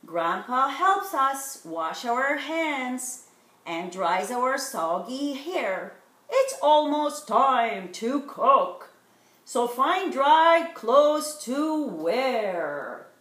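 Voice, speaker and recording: A woman's voice: high-pitched, sing-song, drawn-out words, fairly bright tone. A middle-aged woman reading a children's picture book aloud, stretching out rhyming words expressively. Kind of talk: animated children's read-aloud. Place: living room at home, indoors. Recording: roomy home recording.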